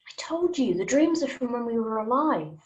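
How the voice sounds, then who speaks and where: A woman in a script table read playing a guarded, secretive leader of a hidden group, speaking in a hushed, measured, serious way. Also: on the high side, very animated, slight background noise, poor audio. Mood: wary.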